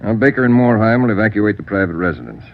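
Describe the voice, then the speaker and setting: A man playing a hard American police chief in a 1940s radio crime drama, giving clipped orders with gruff authority. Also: lowish voice, very animated, quick pace. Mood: commanding. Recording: dull 1940s radio recording, music behind.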